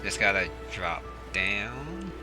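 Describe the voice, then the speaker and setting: A man with a fairly low voice gives relaxed, casual commentary while playing, thinking out loud as he goes. Game music plays clearly behind him. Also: slow pace. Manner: relaxed, musing aloud. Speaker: gaming channel host.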